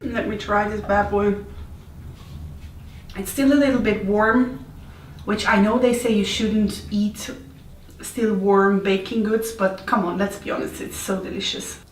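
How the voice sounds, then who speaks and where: A woman's voice: mid-range voice, fairly even intonation, measured pace, long pauses, soft background music. A woman from Switzerland with a Swiss accent, chatting casually and playfully as the host of a home baking vlog. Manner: playful, informal, self-deprecating. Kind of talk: casual chat to camera. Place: indoors, home kitchen. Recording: roomy echo, distant mic, faint noise.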